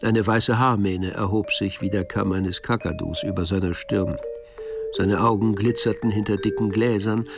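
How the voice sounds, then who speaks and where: Male radio play narrator, lowish voice with gravelly creak, literary delivery, music underneath. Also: fairly even intonation, moderate pace.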